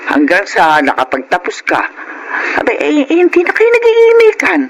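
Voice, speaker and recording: A woman playing a gentle, long-suffering middle-aged farmer mother in a Filipino radio drama, pleading and motherly. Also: high-pitched, wildly swooping pitch, normal speed, low-quality recording. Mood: worried, sad. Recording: clear background noise and ambience.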